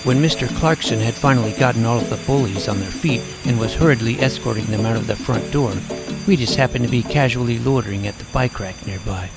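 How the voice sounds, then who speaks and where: A man reading a first-person story aloud in a wry, deadpan manner. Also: medium pitch, brisk, creaky, loud music behind the voice. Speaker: audiobook narrator. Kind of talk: first-person scripted narration.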